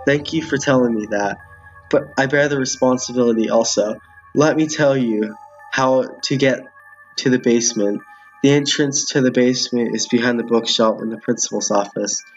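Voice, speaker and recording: A man's voice: medium pitch, moderate pace, lo-fi sound, faint music behind, natural voice. A man voicing a cowed, guilt-ridden male schoolteacher for a visual novel, meek and hesitant. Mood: remorseful.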